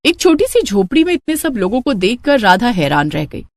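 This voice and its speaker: A woman narrating a scripted moral story as an expressive storyteller. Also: on the high side, normal speed, dry recording, studio quality.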